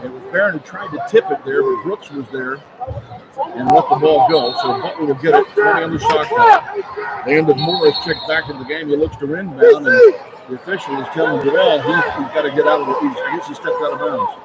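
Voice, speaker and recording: High-pitched man calling basketball over loud crowd. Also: wildly swooping pitch, fairly quick, very noisy. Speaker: radio play-by-play commentator. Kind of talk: live basketball commentary. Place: indoor basketball arena. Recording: loud crowd and music behind commentary. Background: loud arena crowd and music.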